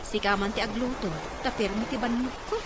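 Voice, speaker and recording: A woman's voice: on the high side, fairly even intonation, brisk, close to an everyday voice. A woman playing a nagging wife and mother. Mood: ordinary. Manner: nagging, scolding. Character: scolding middle-aged wife and mother. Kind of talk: radio drama acting. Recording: loud background noise.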